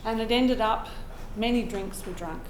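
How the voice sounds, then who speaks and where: Hoarse Australian woman telling a personal story. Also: fairly high pitch, normal speed, echoey room, slight background noise, poor audio. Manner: earnest, reflective, frequent throat clearing. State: hoarse from a cold. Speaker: open mic storyteller. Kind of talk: personal story told to a live audience. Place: room with a live audience.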